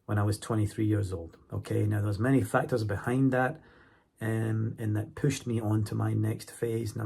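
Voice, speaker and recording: A man's voice: on the low side, gravelly, darkish tone, breathy edge. A Scottish man in his forties with a cold, talking casually to camera as a YouTuber and checking in with his viewers as he goes. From Scotland. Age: 40s. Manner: chatty, keeps checking in with viewers. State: has a cold.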